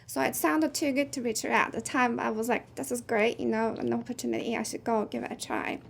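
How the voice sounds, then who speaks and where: A woman in her thirties whose first language is Turkish, speaking as a guest in a casual interview, soft-spoken and thoughtful with frequent pauses. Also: on the high side, steady tone, gravelly, slight background noise. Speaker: guest, game producer.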